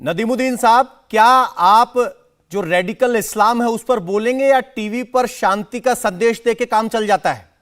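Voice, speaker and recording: A man's voice: very high voice, moderate pace. An Indian man hosting a televised news debate, moderating and pressing with questions.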